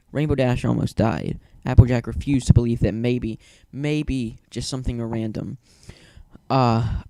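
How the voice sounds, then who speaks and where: A man's voice: mid-range voice, vocal fry, dark tone, a little hiss or hum, low-quality recording. A man narrating a fan fiction story in a dramatic reading style.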